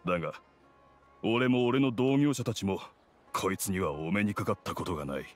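A man voicing a gruff, proud blacksmith in a video game, speaking in a blunt, measured, rough-spoken way. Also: sits low, lightly acted. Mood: solemn, earnest. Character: gruff, proud, no-nonsense male blacksmith craftsman. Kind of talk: Japanese video game voice acting. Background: background music.

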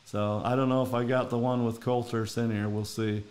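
Middle-aged Minnesotan man, podcast host, mid-pitched voice, rambling, folksy. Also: flat delivery, a little fast, a little mellow. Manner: rambling, folksy, many fillers. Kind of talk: casual interview chat.

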